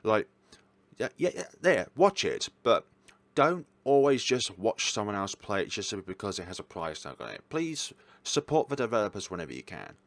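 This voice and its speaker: Man, lowish voice, casual review commentary, rambling, hesitant, enthusiastic. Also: big pitch swings, moderate pace, gravelly, no room sound. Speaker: host reviewing visual novels. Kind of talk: casual chatty ranking commentary.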